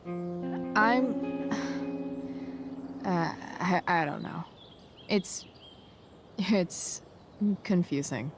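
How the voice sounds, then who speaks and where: Guarded American teenage girl for a game, dry and slangy, very unhurried delivery. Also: on the low side, lively intonation, music in the background. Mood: awkward, unsure. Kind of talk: naturalistic video game acting.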